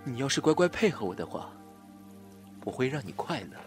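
Man acting an obsessive jilted lover, wildly swinging pitch. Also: very animated, brisk, faint music behind, natural voice. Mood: menacing, taunting. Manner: theatrical, swinging from soft coaxing to taunting. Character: obsessive, scheming jilted male lover, pleading then menacing. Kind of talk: Chinese audio drama acting.

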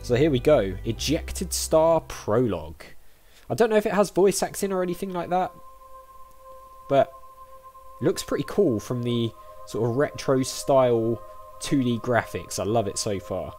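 A man gives casual let's play commentary. His voice is mid-pitched and chatty, reacting aloud as he goes, with faint game music behind. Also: lively intonation, normal speed, darkish tone. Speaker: gaming video host.

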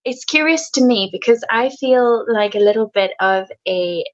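A woman's voice: on the high side, expressive, normal speed. Chatty woman from South Africa, slightly muffled. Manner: chatty, many fillers and restarts. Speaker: podcast guest. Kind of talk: casual interview chat. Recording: slightly dull lo-fi sound.

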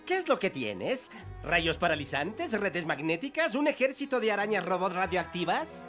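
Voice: medium pitch, very animated, moderate pace, a bit bright, faint music behind. A performer voicing a showy, fawning worm henchman in a Latin American Spanish cartoon dub, eager to please. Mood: fawning, excited. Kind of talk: animated cartoon dubbing.

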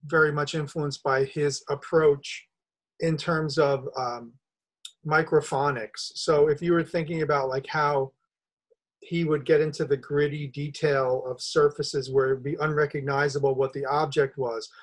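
A middle-aged man hosting a podcast over a video call, explaining his ideas in a measured, thoughtful way. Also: on the high side, steady tone. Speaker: podcast host. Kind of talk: interview conversation. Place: home studio room, video call. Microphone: video call webcam.

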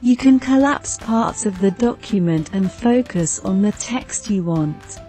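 Synthetic woman, text-to-speech reader, dark mellow tone, document read-aloud, background music. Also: medium pitch, lively intonation, a little slow.

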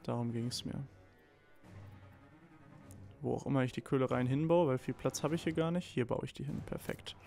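A man's voice: medium pitch, moderate pace, vocal fry, long pauses, a little mellow, soft background music. A man casually chatting while playing a strategy game, thinking aloud with many restarts, a little under the weather. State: slightly ill, a bit hoarse. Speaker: let's play co-host. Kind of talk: casual gameplay chat.

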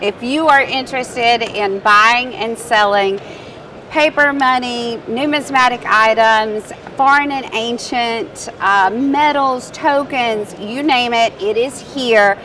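A woman's voice: on the high side, takes it slowly, bright, forceful tone. A woman who manages an expo, answering interview questions in an enthusiastic, promotional way on a convention floor. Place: convention hall floor, indoors. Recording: distant mic with faint hall noise.

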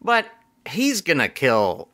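Lively, bright-voiced podcast man. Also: fairly high pitch, slow. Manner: animated, jokey, drifts into silly bits. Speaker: podcast co-host. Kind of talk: casual movie review chat. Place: home room. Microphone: studio mic with headphones.

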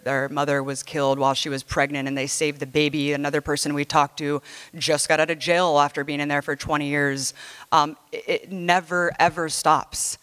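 A woman's voice: low voice, a bit bright. A woman journalist speaking earnestly and indignantly on a panel, often restarting her sentences. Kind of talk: panel discussion talk. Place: large hall stage. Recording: hall reverb, far off.

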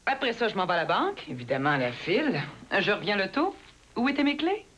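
A woman with a Québécois accent quickly recounting a frazzled morning in a scripted commercial. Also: mid-range voice, very animated, fairly bright tone. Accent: Québécois French. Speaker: ad actor. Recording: dull old TV audio with faint noise.

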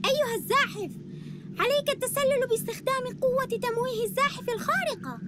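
A woman's voice: very high voice, lively intonation, fast talker. A woman voicing a young girl superhero in a cartoon game, alert and encouraging as she guides teammates. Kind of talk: cartoon video game acting. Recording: loud game music underneath.

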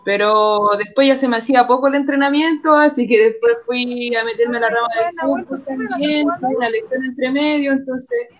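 Young woman, Chilean accent, high pitch, casual interview talk, slightly muffled video call audio. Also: fairly even intonation, normal speed, few pauses. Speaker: guest footballer.

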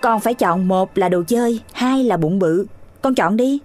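A woman voicing a patient, gently firm mother dog in a children's cartoon, with a measured, motherly way of questioning. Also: mid-range voice, natural voice. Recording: music behind the voice.

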